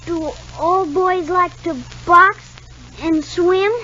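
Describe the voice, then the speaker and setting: A high-voiced, timid little boy. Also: steady tone, slow pace, bright, forceful tone, exaggerated voice. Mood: shy, wistful. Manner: hesitant, stammering, polite. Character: timid, anxious, stubborn six-year-old boy. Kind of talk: 1950 radio soap opera acting. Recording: muffled, noisy 1950 radio recording.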